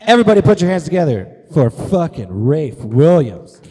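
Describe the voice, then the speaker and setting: A man's voice: on the high side, big pitch swings, moderate pace, warm tone, slight background noise. A man hosting a live show, presenting sponsor spots and introductions in a tongue-in-cheek way. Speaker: show host reading sponsor spot and introducing acts.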